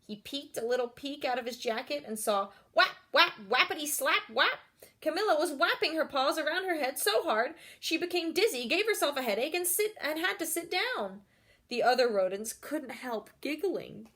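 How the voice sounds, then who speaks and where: A woman's voice: high-pitched, animated, moderate pace, pushed and bright. A woman reading a picture book aloud expressively, with chatty asides addressed straight to young listeners. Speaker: storyteller reading to children.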